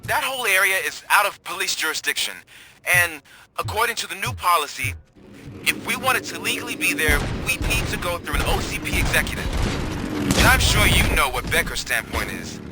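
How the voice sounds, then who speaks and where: High-voiced, exasperated police colleague. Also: male voice, big pitch swings, brisk. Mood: wry exasperation. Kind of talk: video game voice acting. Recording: loud music and gunfire behind.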